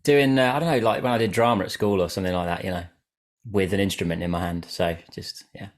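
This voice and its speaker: A man's voice: low voice, fairly even intonation, fast talker. A man on a video call chatting casually in an informal, slightly hesitant way. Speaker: guest, amateur narrator. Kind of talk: casual chat.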